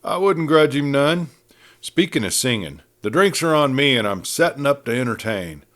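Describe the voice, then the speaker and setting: A man's voice: mid-range voice, very animated, moderate pace, fairly bright tone, pitched above the performer's own voice. A man voicing a breezy, good-natured but proud cowboy prisoner, bantering in folksy Western talk. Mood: cheerful, teasing.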